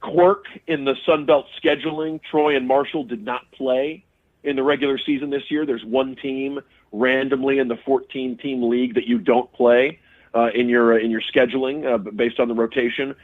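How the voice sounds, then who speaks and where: A man's voice: medium pitch, fairly even intonation, moderate pace. Man talking sports over a tinny phone. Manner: restarts and repeats words often, analytical. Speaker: radio sports broadcaster, guest. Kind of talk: casual sports talk interview.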